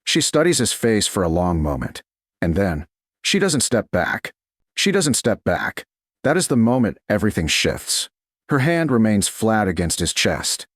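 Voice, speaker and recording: Husky man narrating romance with swooping intonation. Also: on the low side, big pitch swings, dry recording, studio quality. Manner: measured, deliberate, short weighted phrases. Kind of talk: slow dramatic scripted audiobook narration.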